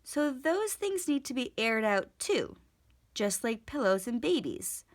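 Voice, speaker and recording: A woman's voice: a little high, sing-song, a little slow, voice pushed up. A woman voicing a cheerful, naive, literal-minded housemaid in a children's storybook, with an earnest, folksy, exclamatory way of talking. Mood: earnest, puzzled.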